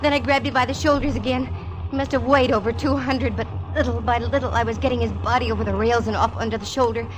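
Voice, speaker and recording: A woman's voice: fairly high pitch, steady tone, fast, lightly acted, low-quality recording. An actress playing a sassy, wisecracking female private eye, with wry, punchy delivery in 1940s radio style. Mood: tense narration. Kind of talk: 1940s radio detective acting and narration. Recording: vintage radio broadcast with music behind.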